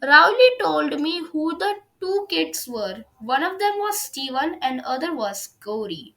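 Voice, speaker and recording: A woman reads a story aloud in a high voice with a noticeable creak. Her pace is slow, and the delivery is that of an amateur narrator who sometimes trips over words. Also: very animated, bright, forceful tone. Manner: stumbles over words while reading. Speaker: fan fiction reader on own video channel. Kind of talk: amateur read-aloud of a first-person story.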